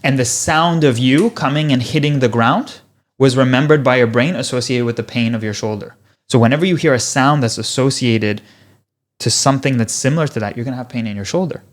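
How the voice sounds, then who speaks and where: Animated Canadian man explaining. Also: mid-range voice, brisk. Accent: Canadian English. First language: Polish. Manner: animated, explanatory, asks rhetorical questions. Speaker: podcast guest, physiotherapist. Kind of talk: casual conversational interview.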